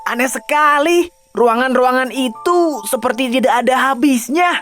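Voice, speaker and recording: A woman's voice: sits high, normal speed, a bit bright, faint music behind. A woman voicing a mischievous, curious young boy in a children's storybook, exclaiming playfully. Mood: amazed, curious. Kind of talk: children's storybook character acting.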